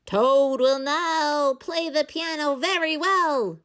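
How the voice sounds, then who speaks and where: A strange, otherworldly storybook announcer, voiced by a woman. The voice is lifted high and drags each word out slowly, grandly proclaiming as though from a distant stage. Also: a bit bright. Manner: slow, grand, drawn-out proclaiming. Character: strange faraway announcer voice in a dream. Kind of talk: animated storybook read-aloud.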